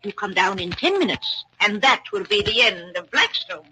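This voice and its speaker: An actress playing a bitter, scheming old widow in a 1940s radio thriller. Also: female voice, medium pitch, very animated, moderate pace, over loud music, close to an everyday voice. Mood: tense, scheming. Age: older. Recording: noisy vintage 1940s radio recording.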